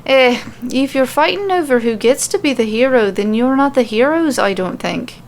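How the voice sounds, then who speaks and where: A woman's voice: high voice, animated, normal speed, slight background noise, voice pushed up. Woman putting on a brash Irish warrior woman. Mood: nonchalant, blunt. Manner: nonchalant, blunt.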